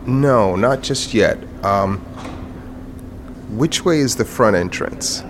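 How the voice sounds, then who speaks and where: An actor playing a newly blind man in an audio drama, halting and understated, stubborn yet wistful. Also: on the low side, animated, a little hiss or hum. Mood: hesitant. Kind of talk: naturalistic audio fiction acting.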